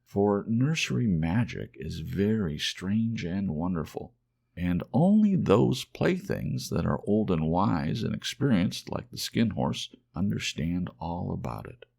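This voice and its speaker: A man narrating a children's storybook in an ASMR audiobook style, measured and expressive. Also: sits low, gravelly.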